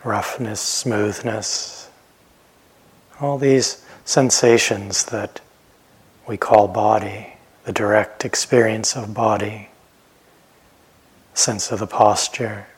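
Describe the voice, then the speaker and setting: Slow, husky low-voiced man guiding meditation. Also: steady tone. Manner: gentle, unhurried, with long pauses between phrases. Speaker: meditation teacher. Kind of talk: guided meditation instruction.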